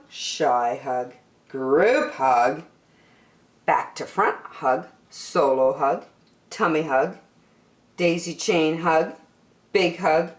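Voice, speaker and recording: A woman reading a children's storybook aloud as storyteller, expressive and emphatic, drawing out key words. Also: lowish voice. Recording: echoey room.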